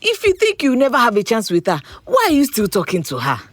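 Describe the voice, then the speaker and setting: An actress with a Nigerian accent playing a frank, teasing young confidante who prods in naturalistic audio drama style. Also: female voice, on the high side, quick pace, no room sound. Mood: blunt, prodding. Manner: expressive, frank, prodding. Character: blunt, teasing young woman, close confidante.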